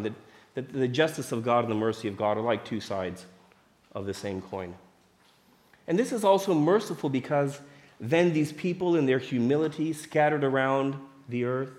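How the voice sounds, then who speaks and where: A man's voice: medium pitch, darkish tone. A middle-aged man preaching a sermon in a conversational way, asking the listeners rhetorical questions. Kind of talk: sermon teaching.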